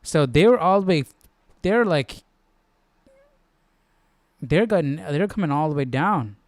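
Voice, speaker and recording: A man hosting a Bible study stream in casual, slangy chat, halting as he thinks aloud. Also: a little high, expressive, dry recording. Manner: informal, slangy, halting as he works things out.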